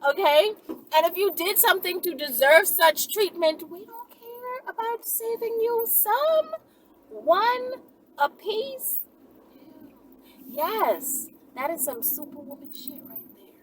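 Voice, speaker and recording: A woman with a high, very lively voice talks casually and emphatically, with plenty of sass. She sounds a little away from the mic, with clear noise behind her. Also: slow pace. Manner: emphatic and sassy, with frequent check-in tags. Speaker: channel host answering viewer questions. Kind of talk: casual, animated monologue to viewers. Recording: noisy, distant-sounding mic.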